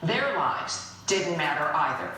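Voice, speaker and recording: A woman's voice: sits low, very animated, unhurried, sharp tone, very echoey, recorded from a distance, a little hiss or hum. An American woman delivering a scripted political opinion monologue as a talk show host, emphatic, accusatory and indignant.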